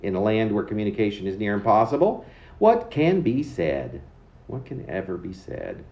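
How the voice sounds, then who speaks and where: A man reading his own poem aloud in an expressive, deliberate way with emphatic phrasing. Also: fairly low pitch, echoey room, muffled recording. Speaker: poet reading own work. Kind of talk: poetry reading.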